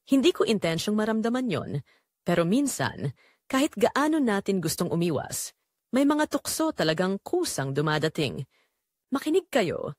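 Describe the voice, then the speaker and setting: A woman narrates a scripted first-person drama. Her pitch moves up and down in a lively way, and the sound is dry and close, with very little room in it. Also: no echo.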